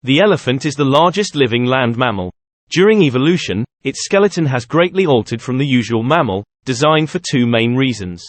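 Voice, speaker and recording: Male narrator for read-aloud exam practice, medium pitch, very dry close sound. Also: expressive, a little fast, no room sound. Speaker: test-practice passage reader.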